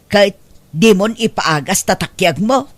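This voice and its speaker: A woman's voice: mid-range voice, measured pace, dry recording, close to an everyday voice. A woman playing a scolding, sorrowful mother in a radio drama, speaking pleadingly with an Ilocano Filipino accent. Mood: upset scolding. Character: scolding, sorrowful mother of a wayward adult child.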